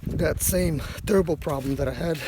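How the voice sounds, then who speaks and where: A man in his thirties with a hoarse, failing voice, casually talking through a van repair outdoors into a handheld phone. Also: fairly high pitch, normal speed. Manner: thinking aloud while working, many fillers. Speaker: mobile mechanic. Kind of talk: casual how-to vlog. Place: outdoors in a rural yard by a van. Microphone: phone camera held close. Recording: outdoor phone audio with background noise.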